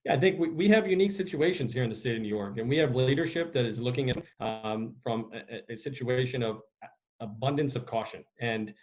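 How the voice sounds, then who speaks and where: A man speaking as an executive director answering media questions on a conference call, in a measured, formal, official way. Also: medium pitch, darkish tone. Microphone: video conference call.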